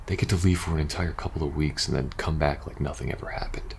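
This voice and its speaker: A man playing a weary, uneasy soldier confiding in his best friend in a post-apocalyptic ASMR audio roleplay, speaking haltingly and casually. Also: rumbling low, steady tone, vocal fry, a little mellow, a little hiss or hum. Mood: puzzled, grumbling. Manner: hesitant, halting, casual swearing, sighing.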